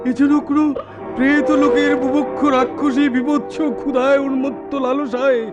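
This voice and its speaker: A man's voice: very high-pitched, level tone, moderate pace, highs cut off, loud music behind the voice. An actor playing a haughty, tyrannical landlord king in a radio drama, declaiming melodramatically and repeating phrases. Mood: horrified. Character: tyrannical landlord raja, haughty, raging, haunted by terror. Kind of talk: theatrical radio drama acting.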